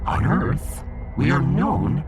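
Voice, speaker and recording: A man playing a pompous, menacing alien fish emperor in a sci-fi audio drama, with a gravelly, theatrical delivery. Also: mid-range voice, lively intonation, over music. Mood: grandly boastful. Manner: pompous, theatrical, slow and deliberate.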